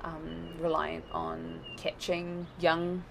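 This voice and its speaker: A woman with a New Zealand accent, chatting casually as an interview guest, rambling with lots of fillers and quick laughs. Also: low voice, flat delivery, vocal fry, a little hiss or hum, rough recording.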